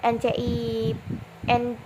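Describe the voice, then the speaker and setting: A young woman with a fairly high voice presents like a student walking classmates through an exercise. She speaks informally and haltingly, circling back to correct herself. The recording is clearly noisy. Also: completely flat delivery. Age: young adult. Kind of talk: explaining accounting exercise in a presentation. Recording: noisy amateur recording with clicks.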